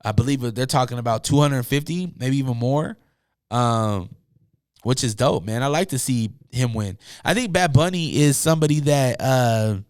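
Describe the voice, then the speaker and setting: A young man with a hoarse, tired voice hosting a casual solo podcast, rambling loosely with many fillers and asides from a room at home. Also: mid-range voice, moderate pace, darkish tone, no echo, clean studio sound. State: hoarse, losing his voice. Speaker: podcast host.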